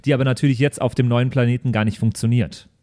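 A man's voice: mid-range voice, fairly even intonation, quick pace, warm tone, no room sound, studio quality. A young man chatting casually and enthusiastically in colloquial language, as a player in a podcast. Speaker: podcast player brainstorming a character. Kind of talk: casual chat.

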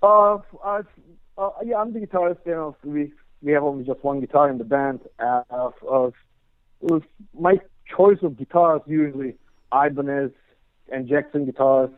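A man talks through a narrow, tinny phone line. His voice sits a bit high, and he rambles casually, leaning on filler words. The sound is dry, with no room around it. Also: normal speed, clean tone, dry recording. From Turkey. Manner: rambling, heavy on "you know" and "like" fillers. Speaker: guest guitarist. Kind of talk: casual interview chat.

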